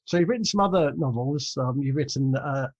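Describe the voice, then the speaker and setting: A man's voice: mid-range voice, brisk, husky. A man hosting a podcast, asking questions in a casual interview style. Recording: dull, lo-fi audio.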